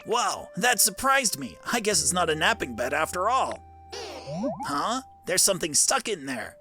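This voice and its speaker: A man voicing an eager, curious young creature in a video game, excitable and childlike in manner. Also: sits high, moderate pace, soft background music, heavily acted. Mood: surprised. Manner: excitable, simple childlike questions.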